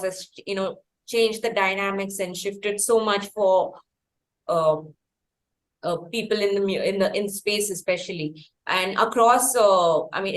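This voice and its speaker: Female podcast host, Indian English accent, mid-pitched, effusive, wordy and halting in conversation. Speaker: podcast host interviewing musicians. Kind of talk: conversational interview.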